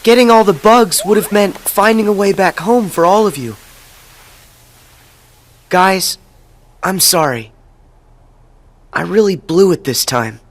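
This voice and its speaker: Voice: mid-range voice, expressive, measured pace, long pauses. An actor playing a plucky, earnest teenage boy hero in a video game, with a headstrong manner. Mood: downcast.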